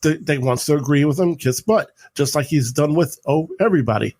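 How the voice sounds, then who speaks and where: A man co-hosting a podcast in casual chat, insistent and opinionated, with quick apologetic asides. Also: fairly high pitch, darkish tone. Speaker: podcast co-host.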